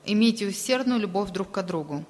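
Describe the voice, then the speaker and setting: A woman interpreting a sermon aloud, delivering it phrase by phrase in a halting way. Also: medium pitch, expressive, darkish tone. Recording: long reverberant hall sound.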